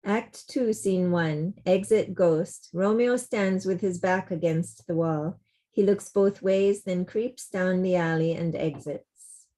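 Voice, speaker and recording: A woman's voice: fairly low pitch, slow pace, dark tone. A woman reading scripted stage directions as narrator of a table read over a video call.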